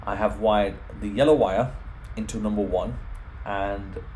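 Low-voiced man, informal tech walkthrough, noisy recording. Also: fairly even intonation, a little slow, darkish tone. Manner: explains step by step. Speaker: home automation tutorial presenter. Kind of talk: informal software screen walkthrough.

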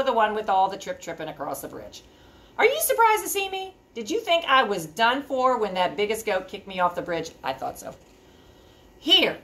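A woman voicing a gentle, artsy male troll who tries to sound mean and chats warmly in a lively storybook voice. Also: mid-range voice. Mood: friendly. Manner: chatty storybook voice, shouts threats half-heartedly.

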